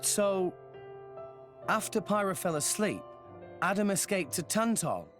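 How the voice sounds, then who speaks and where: A man's voice: high voice, big pitch swings, measured pace, a bit bright, soft background music, heavily acted. An actor playing an earnest, curious teenage boy hero in a video game, eager and plain-spoken. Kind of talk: video game cutscene acting.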